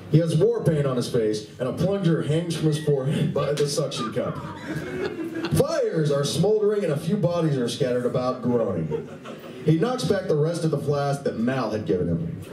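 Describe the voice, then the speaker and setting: A man's voice: fairly high pitch, big pitch swings, normal speed. A man reading scripted narration for a live radio play, recorded in a room. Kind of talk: scripted stage-direction narration. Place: live convention performance room. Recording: echoey room, distant mic, faint noise.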